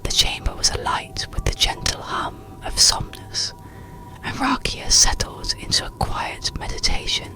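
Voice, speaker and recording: A narrator reading a soothing bedtime story in a breathy, unvoiced ASMR whisper, with gentle pauses. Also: low-quality recording. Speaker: audiobook narrator.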